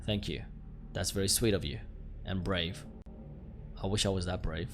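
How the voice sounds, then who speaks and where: A man reading the part of a subdued, weary eleven-year-old girl in a horror game, quietly and plainly, over music. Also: deep voice, steady tone, normal speed, slight background noise, voice pushed down, close to an everyday voice. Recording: music behind the voice.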